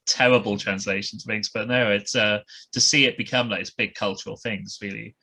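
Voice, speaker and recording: A man's voice: fairly low pitch, fairly even intonation, fairly quick, sharp tone, husky. A man hosting a podcast, chatting eagerly and casually with a guest. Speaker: podcast host. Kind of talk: casual interview chat.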